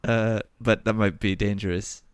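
A man in improv comedy banter, hesitant and halting, often giggling. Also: lowish voice, steady tone, smooth, no echo, clean studio sound. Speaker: improv player.

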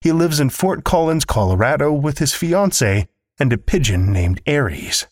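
A man introduces horror fiction as a podcast host, with a showman's macabre flair. His pitch moves around in an animated way through the scripted introduction, and the sound is close and dry. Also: normal speed, dry recording.

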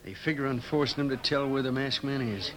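Man playing a rough western outlaw henchman. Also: medium pitch, a little fast, crowd noise, lightly acted. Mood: reporting. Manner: rough, slangy. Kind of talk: 1950s radio western acting.

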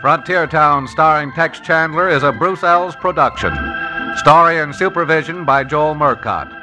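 A man's voice: a little high, moderate pace, rough recording. A male announcer giving the closing credits of a 1950s radio show in a formal, polished delivery. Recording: old radio broadcast over organ music.

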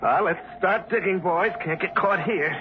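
An actor playing a plain-spoken, edgy male motorist, brisk and folksy, in a 1950s radio drama. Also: high voice, lightly acted. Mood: urgent. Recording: muffled, hissy old radio recording.

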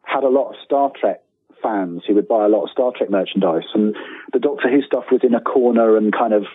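A man's voice: lowish voice, a little fast, slightly rough, dry recording. A middle-aged man talking casually as an interview guest, rambling, self-deprecating and enthusiastic, over a thin phone-like line.

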